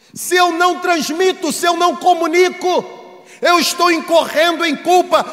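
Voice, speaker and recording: A man's voice: extremely high pitch, a bit bright. A man with a Brazilian accent preaching a sermon to a congregation, speaking emphatically and repeating phrases for rhetorical effect. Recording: echoey hall with long reverb.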